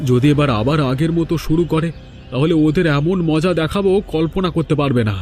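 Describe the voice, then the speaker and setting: A man's voice: on the high side, normal speed, a little mellow, a little hiss or hum, close to an everyday voice. A man voicing a suspicious, dismissive, taunting village man in a cartoon drama. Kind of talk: Bengali cartoon voice acting.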